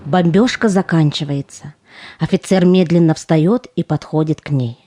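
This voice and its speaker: A woman's voice: low voice, moderate pace, mellow. A woman narrating a war audio play in a descriptive storytelling manner from a script. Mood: narrative. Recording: music under the voice.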